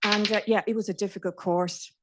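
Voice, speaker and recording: A woman's voice: mid-range voice, fairly even intonation, fairly quick, very noisy. A middle-aged Irish woman giving a nervous, chatty talk to a live audience, with fillers and restarts. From Ireland. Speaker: speaker. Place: event venue in a company office.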